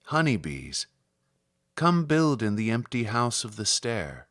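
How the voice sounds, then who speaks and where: A man reciting literary poetry in a measured, solemn manner with deliberate phrasing. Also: on the low side, very animated, fairly bright tone, dry recording, clean studio sound. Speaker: poetry reader.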